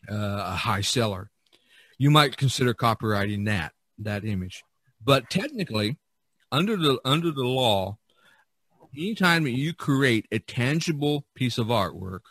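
A man's voice: lowish voice, a bit bright, no echo. A man hosting a podcast, chatting casually and giving advice in an emphatic, self-deprecating way, often repeating himself. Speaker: podcast host and artist.

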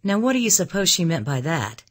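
A woman narrator acts out a vain society widow for an audiobook. She sounds wistful and proud, with a plaintive, theatrical edge. The pitch moves widely and the tone is slightly husky. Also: very animated, a little fast. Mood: piqued, wry. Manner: dramatic, plaintive. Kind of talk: audiobook character dialogue.

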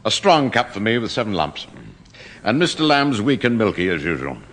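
Blustering, very animated English bureaucrat. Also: male voice, on the low side, normal speed, over a noisy crowd. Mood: brisk. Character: self-important, blustering middle-ranking civil servant. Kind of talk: 1960s British radio comedy acting.

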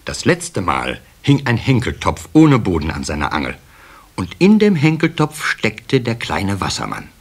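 A man's voice: on the low side, wildly swooping pitch, measured pace, breathy edge. A man narrating a scripted children's story in a radio play.

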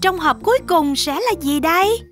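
A woman narrates a children's cartoon in an extremely high-pitched voice. Her tone is bright and her delivery is exclamatory, speaking straight to young viewers. Music plays behind her. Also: lively intonation, normal speed. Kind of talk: animated scripted storytelling for young children.

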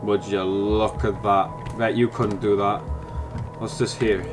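A man's voice: on the low side, little pitch movement, takes it slowly, music in the background. A man giving let's-play commentary, chatting to himself while he works out puzzles and snapping at the game in mock frustration. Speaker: gaming YouTuber.

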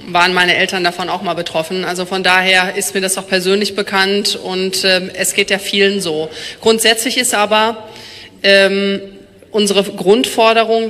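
Bright-voiced woman answering on stage. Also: medium pitch. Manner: hesitant with many fillers. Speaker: politician answering audience questions. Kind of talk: public Q&A answer. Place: large hall, on stage. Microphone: handheld mic. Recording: echoey hall.

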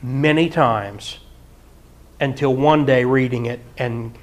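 A middle-aged man preaching a sermon in a church hall, animated and often breaking into mock singing and laughter. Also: medium pitch, slow. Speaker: pastor. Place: church hall with projector screen.

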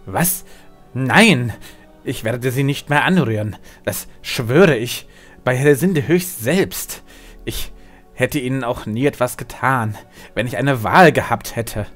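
A man's voice: mid-range voice, lively intonation, takes it slowly, fairly bright tone, faint music behind. A man playing a grim, earnest alchemist and devoted brother in a fan-made fantasy audio drama. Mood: pleading.

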